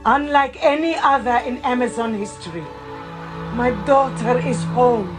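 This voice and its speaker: A woman's voice: fairly high pitch, normal speed, over music, close to an everyday voice. A woman playing a regal Amazon queen and loving mother in a motion comic, stately and declamatory. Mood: proud, celebratory. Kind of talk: dramatic motion comic voice acting.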